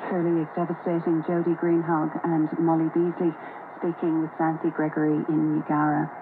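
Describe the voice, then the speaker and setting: Female Australian news host, lower register, scripted read, tinny hissing shortwave. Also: completely flat delivery, moderate pace. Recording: very noisy, hissy shortwave radio reception.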